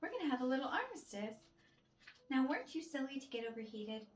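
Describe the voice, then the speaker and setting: A woman voicing a sassy, sharp-tongued lady's maid who scolds theatrically, as in a storybook play. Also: high voice, big pitch swings, fast talker, a little hiss or hum. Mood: scolding. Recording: roomy echo with music behind.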